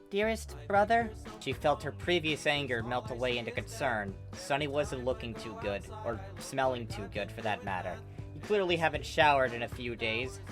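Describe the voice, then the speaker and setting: An amateur narrator reads a fan fiction story expressively. The voice sits at a middling pitch, with faint music playing behind it.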